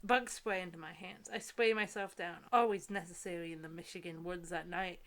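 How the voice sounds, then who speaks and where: A woman's voice: fairly low pitch, fairly even intonation, brisk. A young woman co-hosting a casual comedic read-aloud, breaking off for giggly, incredulous asides. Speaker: co-host reading book excerpts aloud.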